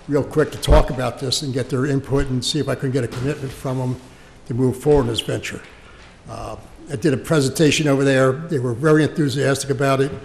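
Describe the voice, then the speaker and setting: Gravelly American man in a reverberant hall. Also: medium pitch, a little mellow, slight background noise. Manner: halting, repeats words and phrases. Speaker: local government official presenting a project to a township board. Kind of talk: public meeting presentation, unscripted explanation. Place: indoor township meeting room.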